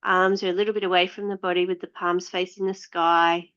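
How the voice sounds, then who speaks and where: A woman yoga teacher guiding meditation and breathing in a measured, soothing way, leaving long pauses between phrases. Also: fairly low pitch, flat delivery, fairly bright tone, clean tone. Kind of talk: guided yoga and meditation instruction. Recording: dull, slightly lo-fi sound.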